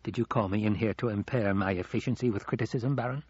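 Guy doing a nasty sneering henchman in an audiobook, husky and insinuating, dry dull recording. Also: lively intonation, a little fast, a little mellow, no echo. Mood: sullen. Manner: low, muttered, insinuating delivery. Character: sneering, sullen, venomous male henchman advisor.

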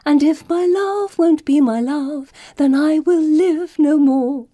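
Woman playing a high-pitched, excitable girlish young lady. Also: normal speed, dry recording, clean studio sound. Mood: merry singsong. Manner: squealing, gushing, singsong. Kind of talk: audiobook character voice.

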